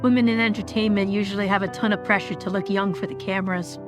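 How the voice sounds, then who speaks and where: Casting: actress as a candid, encouraging idol pal, mid-pitched, animated drama, music underneath. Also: female voice, quick pace, natural voice. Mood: easygoing, reflective. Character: frank, supportive female vtuber idol friend.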